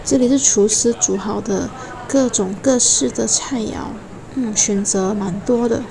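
A woman giving a casual, unhurried food tour of a hotel buffet, naming the dishes one by one. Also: on the high side, gravelly, a crowd in the background, poor audio. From Malaysia. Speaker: food vlogger. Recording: restaurant noise behind the voice.

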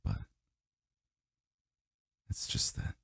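A man's voice: extremely slow, fairly bright tone, no echo, studio quality. A man voicing a doting, teasing boyfriend in an intimate ASMR roleplay, murmuring breathily and often slipping into a whisper. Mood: tender. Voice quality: breathy, husky, gravelly. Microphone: close microphone, dry.

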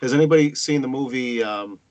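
A man talking casually on a video call, giving feedback in a halting way, thinking aloud in short phrases. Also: mid-range voice, a little mellow. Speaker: table-read participant giving feedback. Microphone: video-call microphone.

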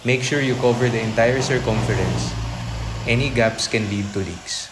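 A man's voice: mid-range voice, normal speed, background noise. A man giving a scripted, step-by-step voice-over for a repair tutorial, explaining each stage evenly.

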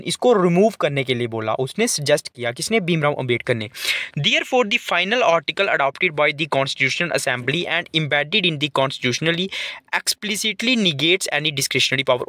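Guy doing a line by line editorial lesson, reads english slow then explains in hindi, bright voice, dry close sound. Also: on the high side, dry recording.

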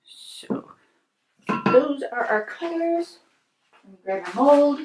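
A middle-aged woman hosting a soap-making video. She talks through each step in a chatty way while she mixes at her kitchen table, sometimes softly and sometimes brightly. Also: sits high, slow, a little hiss or hum, rough recording. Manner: chatty, thinks aloud while mixing, varies from soft to lively. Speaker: soap-making channel host. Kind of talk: casual how-to narration while working. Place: home kitchen table, overhead camera.

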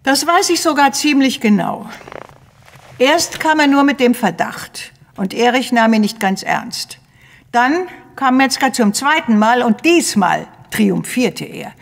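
An actress playing a strong, exhausted widow in a crime audio drama, with a creaky voice. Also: female voice, a little high, expressive, unhurried. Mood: weary, firm. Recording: reverberant room sound.

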